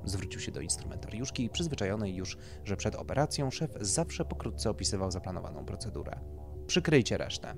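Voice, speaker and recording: Male narrator for horror fiction, animated delivery with a creaky voice, music underneath. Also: lowish voice, a little fast, few pauses. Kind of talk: scripted horror story narration.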